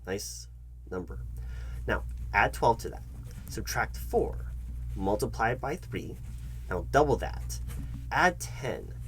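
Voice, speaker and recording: A man performing a comedic job interview roleplay, speaking straight to the listener in a fussy, halting, repetitive manner. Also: lowish voice, big pitch swings, fairly bright tone, slight background noise. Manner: fussy, halting, repeats himself, addresses listener directly. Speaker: ASMR channel host acting as job interviewer.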